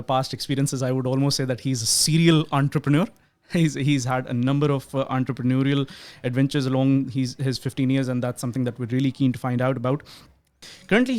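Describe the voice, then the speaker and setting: A man's voice: medium pitch, darkish tone. A man hosting an interview podcast, introducing his guest earnestly and asking questions with many fillers and restarts. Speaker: podcast host.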